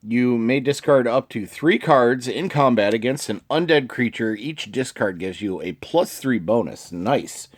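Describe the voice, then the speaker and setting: Guy showing off card game cards, reads them out casually, bit sniffly from allergies, clears his throat, mid pitch. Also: animated, unhurried, hardly any pauses. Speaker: video host. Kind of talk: casual card game showcase, reading card text aloud.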